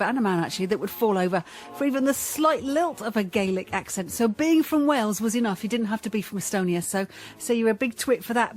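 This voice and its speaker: Brief: female radio co-host, rapid-fire, higher voice, teasing, faint music under. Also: darkish tone. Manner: quick, teasing and blunt. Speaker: radio co-host giving verdicts. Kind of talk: radio panel banter.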